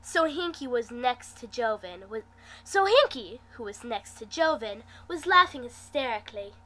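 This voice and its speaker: A woman reading fan fiction aloud in an expressive, dramatic manner, acting out the dialogue as she goes. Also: a little high, normal speed. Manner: animated, dramatic delivery. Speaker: amateur fan fiction reader, host.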